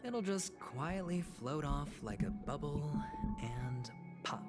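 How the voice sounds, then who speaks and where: An actor playing a smooth, scheming young male corporate negotiator in a fantasy video game, with a sly and persuasive manner. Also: fairly high pitch, animated, slow. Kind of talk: theatrical video game voice acting. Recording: game music playing behind.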